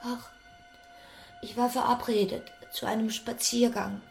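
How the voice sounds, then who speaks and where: An actress playing a trusting, open-hearted young daughter of the house in a radio drama. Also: female voice, a little high, level tone, a little slow, a little mellow, low-quality recording. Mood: downcast. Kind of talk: German radio drama acting. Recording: music behind the voice.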